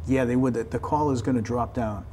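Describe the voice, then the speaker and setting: An American man gives conversational explanations as an interview guest. His voice is mellow and soft-edged at a medium pitch, and he tends to repeat words as he begins a sentence. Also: brisk, slight background noise. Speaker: fire department staff member, interview guest.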